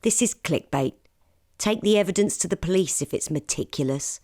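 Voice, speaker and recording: A woman's voice: on the low side, animated, normal speed, dark tone. A woman reading a social media reply aloud as a guest voice.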